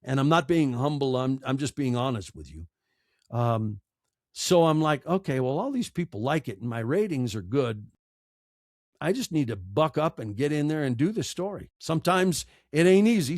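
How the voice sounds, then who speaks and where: A man's voice: medium pitch, big pitch swings, moderate pace, dry recording. Earnest American man, dry close sound. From United States. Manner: emotional, earnest, emphatic with repeated phrases. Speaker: interview guest, audiobook narrator and podcaster. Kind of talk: candid conversational interview answers.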